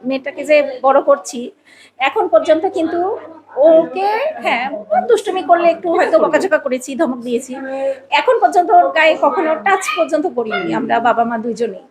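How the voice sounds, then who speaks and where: Give a woman's voice, notably high. She talks in a casual, personal interview style and is expressive, often repeating a phrase for emphasis. Voices of a crowd are clearly audible behind her. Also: a little fast. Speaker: podcast guest, a mother.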